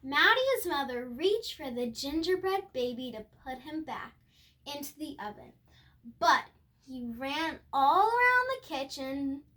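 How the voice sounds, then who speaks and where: A young girl hosting a video, reading a storybook aloud haltingly, repeating and correcting words, with casual asides to viewers. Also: sits high, sing-song, bright, forceful tone. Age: child.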